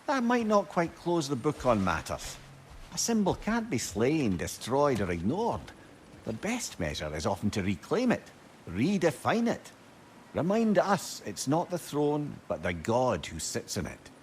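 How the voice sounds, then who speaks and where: A wise male mentor speaks in a calm, eloquent and reflective way. His voice sits at an average male pitch but rises and falls very expressively. Faint background noise sits beneath him. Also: measured pace. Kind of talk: video game voice acting.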